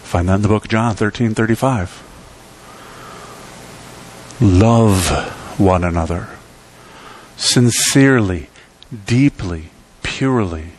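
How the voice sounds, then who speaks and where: A man's voice: slow, pauses often, a little mellow, slight background noise. Middle-aged man preaching with rising emphasis. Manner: emphatic preaching with sweeping pitch and volume swings. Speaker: pastor. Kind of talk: sermon. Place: church sanctuary.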